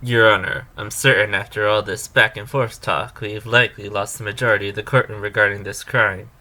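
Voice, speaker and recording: An actor playing an assertive, courteous defense partner in a courtroom audio drama, firm and formal. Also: male voice, on the low side, fairly even intonation, brisk, sharp tone. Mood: confident.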